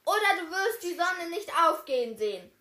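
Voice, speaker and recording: A man's voice: extremely high pitch, animated, unhurried, sharp tone, pitched above the performer's own voice, full character voice. A man voicing a brave, commanding young female knight in a storybook tale. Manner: proud, commanding.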